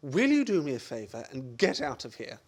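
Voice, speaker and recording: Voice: medium pitch, wildly swooping pitch, a little fast, a little mellow. A performer playing a flustered, exasperated married man in a radio farce, blustering and stammering. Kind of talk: stage farce radio play acting.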